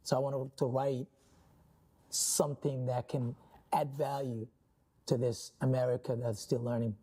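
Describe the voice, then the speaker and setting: Man from Somalia as a guest author, earnest and halting, very dark, mellow voice. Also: mid-range voice, little pitch movement, breathy edge. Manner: earnest, halting, many restarts. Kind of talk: interview conversation.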